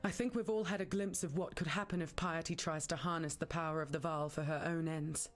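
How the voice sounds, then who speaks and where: A woman's voice: deep voice, steady tone, fairly quick, faint music behind, natural voice. A woman voicing a composed, sober ally in a video game. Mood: grateful, grave. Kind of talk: video game character acting.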